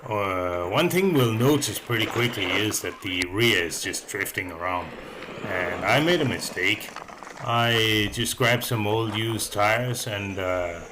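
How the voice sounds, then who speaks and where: Casting: man, deep voice, casual hobby reviewer doing voice-over, halting delivery with fillers, noticeable background noise. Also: lively intonation. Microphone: voice-over microphone.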